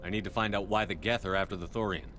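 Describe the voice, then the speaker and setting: A stoic space commander played by a man who speaks with measured authority. His voice is fairly low, in dramatic video game style, with music clearly behind it. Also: fairly even intonation, lightly acted. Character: stoic, commanding male space soldier, decisive squad leader.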